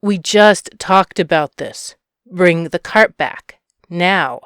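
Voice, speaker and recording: A woman's voice: lowish voice, takes it slowly, a bit bright, clear, resonant tone, no room sound. Exasperated female housekeeping manager, played by a woman narrator, bossy, precise enunciation, storybook read, dry close recording. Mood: frustrated. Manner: enunciates each word, exasperated.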